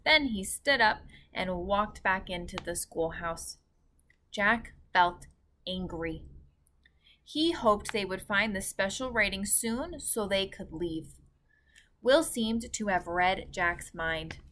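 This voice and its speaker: Bright-voiced, unhurried woman reading a storybook. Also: medium pitch, expressive. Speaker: teacher reading aloud. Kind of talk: children's chapter book read-aloud. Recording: faint background noise.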